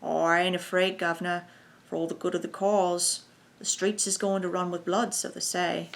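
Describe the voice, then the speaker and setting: A woman voicing a rough male thug who speaks with grim relish and rough working-class grammar. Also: high voice, flat delivery, normal speed, a bit bright. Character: rough cockney thug, eager for violence.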